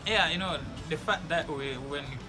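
A man's voice: on the high side, moderate pace, music in the background, poor audio. A young man from Malawi, answering interview questions outdoors in an earnest, advice-giving way with frequent restarts. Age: young adult. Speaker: graduating student interviewee. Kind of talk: casual interview. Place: outdoors by a building wall.

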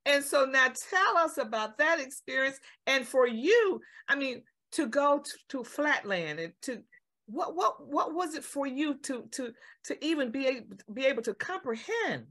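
A woman hosting a talk show and interviewing a guest, with playful, animated interjections. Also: high-pitched, unhurried.

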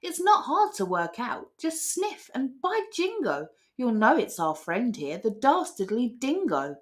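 A woman's voice: fairly high pitch, sing-song, unhurried. Woman voicing a blustering, accusing kangaroo.

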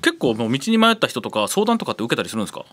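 Man hosting, fast and lively. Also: medium pitch. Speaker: radio show host. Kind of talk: casual talk show conversation.

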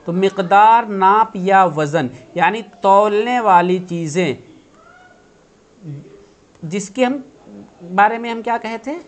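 Male teacher, 30s, patient and repetitive explainer, home webcam lesson. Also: sits high. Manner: patient explaining, repeats key terms, asks and answers own questions. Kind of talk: online grammar lesson. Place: indoor room.

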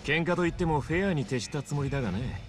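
A man's voice: a little high, animated, fast, soft background music, lightly acted. An actor playing an elegant, vain male fighter and club owner in a video game, speaking with polished, formal theatricality. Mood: calm, gracious. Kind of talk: dramatic video game acting.

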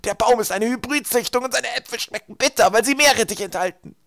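Storybook role: weak, bookish boy played by a man, raised pitch, choked and gasping, explains haltingly. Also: vocal fry, fairly bright tone, no room sound, clean studio sound, heavily acted. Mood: excited, strained. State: gasping, weakened. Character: sickly, bookish boy, gasping but studious.

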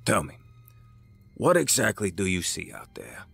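Man acting as a smug, low-voiced crime boss. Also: sing-song, gravelly, a bit bright, husky, no echo, soft background music, exaggerated voice. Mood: smug, persuasive. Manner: drawling, patronizing, deliberate. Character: smug older male crime boss, menacing and folksy. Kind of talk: dramatic audio drama acting.

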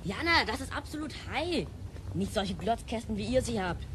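Woman acting insistent kid from the future, radio play, fairly high voice, slangy, clearly noisy background. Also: animated, moderate pace, natural voice. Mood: boastful, excited. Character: stubborn, slangy child from the future. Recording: clear noisy scene ambience.

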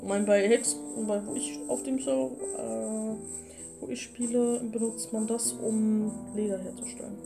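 A woman's voice: on the high side, level tone, normal speed, gravelly. A woman chatting casually as a let's player while she plays a game. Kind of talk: casual gameplay chat. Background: music.

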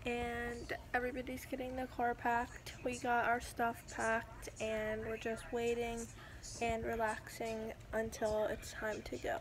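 A woman's voice: fairly high pitch, monotone, unhurried. Chatty young woman vlogging on her phone. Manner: chatty, upbeat, lots of "so" and "um". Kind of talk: casual vlog chat to camera. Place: hotel room and outdoors at a beach town. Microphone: phone camera at arm's length.

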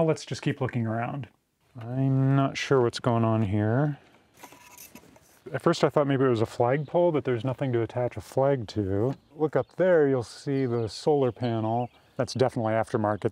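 A man in his forties, the host, narrating a casual walkthrough of an old camper trailer in a thoughtful, measured way with pauses and fillers. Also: medium pitch, a little mellow. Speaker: vlogger touring a camper. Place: small camper trailer and outdoors, plus a dim room for to-camera parts.

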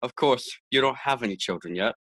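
A man's voice: medium pitch, a little fast, fairly bright tone, no echo, natural voice. Casting: a man as a hearty, chuckling older boss for a 1940s radio sitcom, dry close mic. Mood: jovial. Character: jovial older male boss, Mr. Atterbury.

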